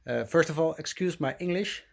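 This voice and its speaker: A man's voice: on the high side, brisk, vocal fry. Hesitant Dutch-accented man in a garage. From Netherlands. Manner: hesitant, many uhs, apologetic. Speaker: car restoration vlogger. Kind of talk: casual walkaround vlog. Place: garage or workshop beside his car.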